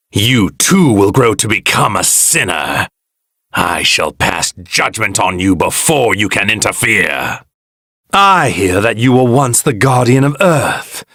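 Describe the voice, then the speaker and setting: An arrogant, villainous god in a battle game. The voice is gravelly and husky, swinging dramatically up and down. He delivers formal, pompous pronouncements at a slow, deliberate pace. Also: mid-range voice, a bit bright, no room sound, studio quality, full character voice. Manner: pompous, grandiose, formal, archaic phrasing. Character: arrogant evil god inhabiting a warrior's stolen body. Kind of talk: theatrical video game battle lines.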